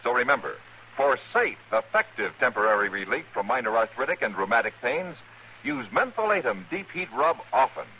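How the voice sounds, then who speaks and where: A man's voice: medium pitch, big pitch swings, brisk, pushed and bright. A North American man reading a scripted radio commercial as an announcer. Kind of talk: scripted radio advertisement read. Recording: slightly noisy old radio broadcast.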